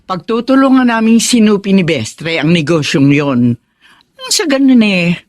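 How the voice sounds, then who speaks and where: An actress playing a caring, excitable older Filipino mother in a radio drama. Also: mid-range voice, moderate pace, dry recording, lightly acted. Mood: friendly, practical.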